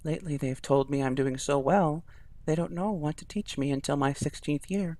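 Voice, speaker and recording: A man voicing a kind, confident schoolgirl who sounds bright and reassuring. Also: a little high, normal speed, no echo, lightly acted. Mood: cheerful.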